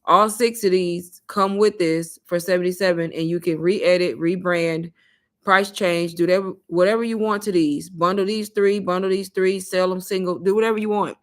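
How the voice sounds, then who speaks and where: A woman hosting a casual livestream, pitching an online course and taking viewers' questions in a chatty, repetitive way while calling her viewers "y'all.". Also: steady tone, normal speed. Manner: chatty, repeats herself, addresses viewers as "y'all".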